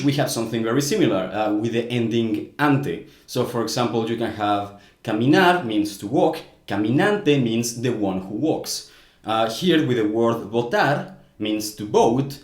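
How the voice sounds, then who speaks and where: A man's voice: medium pitch, expressive, unhurried, roomy sound. A man from Mexico whose first language is Spanish, speaking English with a Mexican accent and explaining grammar earnestly in a casual commentary, with frequent small restarts.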